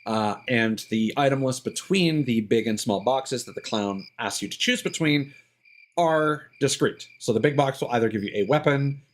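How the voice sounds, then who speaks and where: Male game streamer, average pitch, casual and explanatory, halting delivery with thinking-aloud asides. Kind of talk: casual live gameplay commentary.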